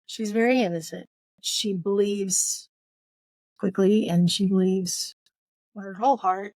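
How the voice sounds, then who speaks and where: A woman's voice: medium pitch, fairly even intonation, a little slow, a little mellow, no room sound. A woman co-hosting a podcast in a casual book discussion, speaking in an opinionated, playfully emphatic way.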